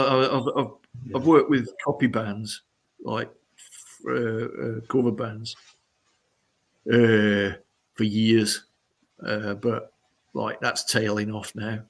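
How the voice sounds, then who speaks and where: A middle-aged or older man, a musician chatting casually and haltingly as an interview guest. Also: mid-range voice. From Sheffield, England.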